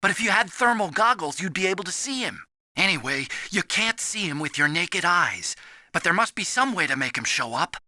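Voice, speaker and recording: Earnest, bright-voiced nerdy scientist. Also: male voice, high voice, very animated, normal speed, no room sound, exaggerated voice. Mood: urgent, explaining. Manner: earnest, anxious, explanatory. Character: earnest, timid young male scientist, soft-hearted and nerdy. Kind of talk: video game voice acting.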